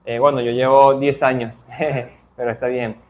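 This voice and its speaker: A man's voice: mid-range voice, flat delivery, normal speed, muffled recording. Attentive male interview host. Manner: attentive, with short back-channel replies. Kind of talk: conversational interviewing.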